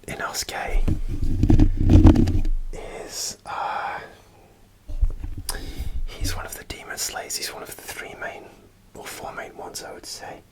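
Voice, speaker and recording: A man whispering an ASMR show-and-tell in a soft, unvoiced whisper, hesitant and full of small fillers, partly from inside a costume helmet. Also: slow, pauses often. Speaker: ASMR creator.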